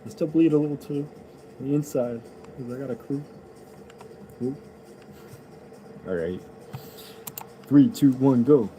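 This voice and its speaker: A man's voice: medium pitch, a little slow, long pauses, highs cut off, a little hiss or hum. A man, a rapper, chatting casually and ramblingly to his viewers, loose and offhand.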